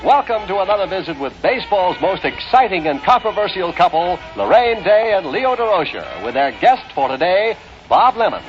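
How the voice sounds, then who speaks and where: American male announcer, lively emphatic 1950s radio delivery, lo-fi broadcast sound with music. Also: sits high, normal speed. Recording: band-limited early 1950s radio with music.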